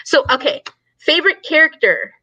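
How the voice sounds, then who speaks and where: Female discussion host, very bright, slightly high voice, agreeable and conversational. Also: lively intonation, moderate pace, no echo, lo-fi sound. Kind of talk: casual book discussion.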